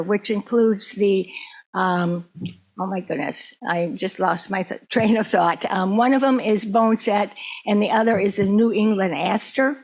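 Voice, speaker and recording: Female community presenter, mid-range voice, conversational and slightly halting educational delivery. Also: medium pitch, poor audio. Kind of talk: informal educational talk to a group.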